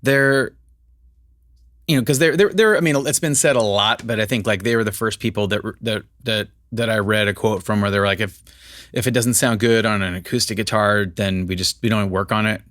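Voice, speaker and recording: A man's voice: lowish voice, a little fast, a bit bright, dry recording. A man hosting a casual conversation as a musician, talking candidly and self-deprecatingly while he searches for words. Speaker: host musician.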